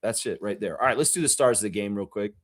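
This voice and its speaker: A man hosting a fan reaction show, raspy from yelling at a game, ranting emphatically with swearing and repeated phrases. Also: lowish voice, animated, fast talker. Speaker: fan channel host. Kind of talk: fired-up fan reaction rant.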